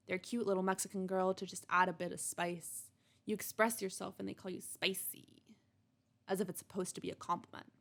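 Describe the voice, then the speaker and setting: A woman reading a personal, reflective monologue in an earnest, measured, introspective way. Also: on the low side. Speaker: monologue writer and reader.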